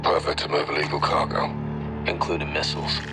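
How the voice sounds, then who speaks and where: A man's voice: extremely low pitch, completely flat delivery, fairly quick, music in the background. A man playing a seasoned special forces soldier in a game, speaking tersely and seriously. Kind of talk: acted game dialogue.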